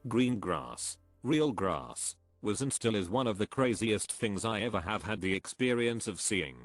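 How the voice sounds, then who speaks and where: Brief: low male narrator reading posts, flat and even, music underneath. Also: unhurried, no room sound. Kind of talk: scripted narration. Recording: voice-over with clear background music. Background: music bed.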